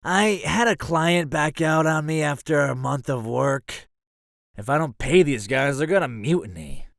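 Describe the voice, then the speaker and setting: A roguish male mercenary acted by a man for an audio drama, flippant and full of swagger. His delivery is highly animated and swoops around in pitch, recorded close and dry with no room sound. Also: measured pace, gravelly, no room sound, full character voice. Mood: sheepish, frustrated. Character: roguish, cocky, theatrical male mercenary leader.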